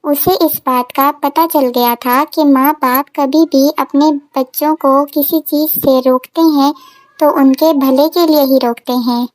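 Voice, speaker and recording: High-pitched woman storyteller. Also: steady tone, measured pace, no echo, poor audio. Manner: simple, repetitive storytelling for children. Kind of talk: scripted children's story narration.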